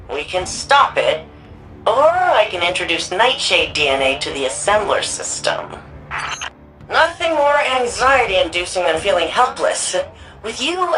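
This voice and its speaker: A woman archivist turned resistance hacker for a sci-fi game. She talks casually and wryly, with an anxious edge and a lively, swinging pitch. Light music plays behind her. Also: poor audio. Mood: grim, troubled, then anxious, wistful. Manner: casual, conversational, wry. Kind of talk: video game mission dialogue.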